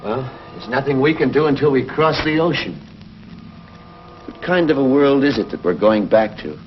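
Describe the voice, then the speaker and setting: An actor playing a resolute, heroic pilot from the future in 1950s television science fiction, earnest and straightforward. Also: male voice, medium pitch, lively intonation, moderate pace, lightly acted. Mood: earnest, questioning. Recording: noisy, dull 1950s television soundtrack.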